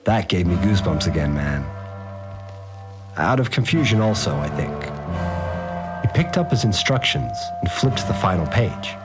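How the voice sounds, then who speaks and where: An awed working man with a very low voice that moves around freely in pitch. He rambles through a slangy, unsure audio diary in a fiction podcast, with music underneath. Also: sing-song, moderate pace, long pauses. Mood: awed, stirred. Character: awed, rough-spoken working man recounting strange events on tape. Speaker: fixer, a manual worker.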